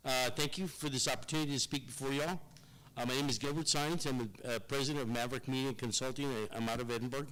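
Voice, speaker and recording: A man, giving a loud, rambling pitch to a city board with many fillers and restarts. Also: steady tone, fast talker, low-quality recording. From Rio Grande Valley, Texas. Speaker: business presenter at a board meeting. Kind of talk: public comment speech. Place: city board meeting room.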